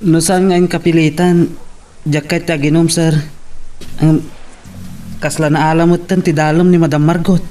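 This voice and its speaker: A man performs a deferential household servant in a radio drama. His voice is a little higher than most men's, pitched to show respect. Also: little pitch movement, normal speed, long pauses, warm tone, lightly acted.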